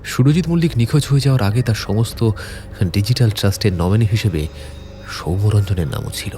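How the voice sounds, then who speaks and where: A man acts out an anxious young friend in a crime audio drama. His voice sits on the low side, and he is earnest, loyal and pleading. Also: a little fast, over music, close to an everyday voice. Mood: earnest, urgent. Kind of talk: audio story acting.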